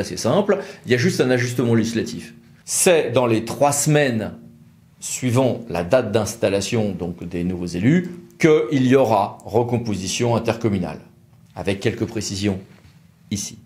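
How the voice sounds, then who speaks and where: A man's voice: medium pitch, a little mellow. A man with a French accent giving an informal, didactic legal explainer, posing questions and answering them in colloquial terms. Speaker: legal explainer presenting a law firm's videos.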